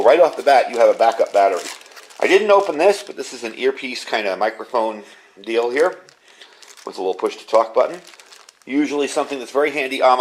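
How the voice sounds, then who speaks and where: A man's voice: medium pitch, a little hiss or hum. A man giving a casual product review and demonstration, explaining things informally and with enthusiasm. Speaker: ham radio product reviewer.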